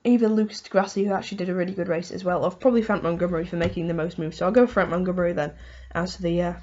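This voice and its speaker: A woman with a hoarse voice from a cold, hosting a casual motorsport race review and rambling through it with lots of "um" and "you know". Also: lowish voice, steady tone, very fast, darkish tone, highs cut off. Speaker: motorsport video host.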